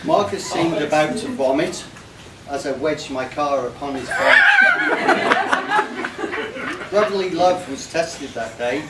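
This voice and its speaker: A man reciting a comic rhyming tribute poem to a gathering, line by measured line. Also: fairly high pitch, recorded from a distance. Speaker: reader of a birthday tribute poem. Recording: echoey, noisy room recorded from afar.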